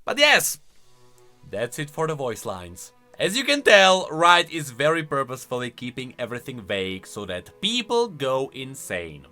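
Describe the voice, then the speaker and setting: A man giving casual, opinionated reaction commentary as a gaming lore commentator, in an animated manner. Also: mid-range voice, normal speed, faint music behind.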